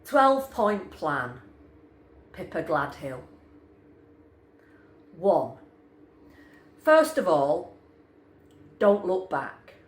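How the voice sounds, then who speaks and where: Animated, very slow woman reading a story, roomy. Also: on the low side. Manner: wry, animated, deliberate pauses between short phrases. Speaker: reader of a short story. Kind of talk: expressive live story reading. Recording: roomy, echoing sound.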